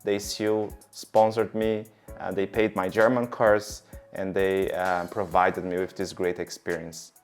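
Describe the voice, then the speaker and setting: A man's voice: sits low, little pitch movement, unhurried, faint music behind. A man in his thirties from Brazil, giving long, earnest interview answers with many fillers. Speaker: guest.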